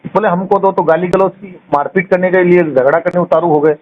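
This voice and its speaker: A man's voice: high voice, fairly even intonation, brisk. A man talking informally on the phone, giving a long accusatory account in a blunt, scornful, colloquial way with rhetorical questions. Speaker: caller giving his account. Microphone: telephone. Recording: muffled phone call recording, clear noise.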